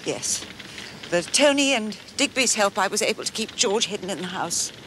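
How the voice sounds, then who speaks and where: A woman's voice: mid-range voice, lively intonation, moderate pace, lightly acted. Brief: actress as a grave aristocratic lady, confessional, noisy TV-clip audio. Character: guilt-ridden aristocratic lady guarding a family secret. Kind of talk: 1980s television drama. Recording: noisy clip from a television soundtrack.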